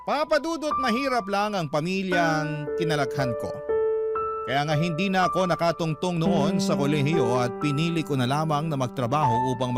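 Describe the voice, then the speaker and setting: Filipino male storyteller over loud music. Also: on the high side. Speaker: storyteller reading a listener's letter. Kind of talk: radio-style dramatic narration. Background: loud instrumental music.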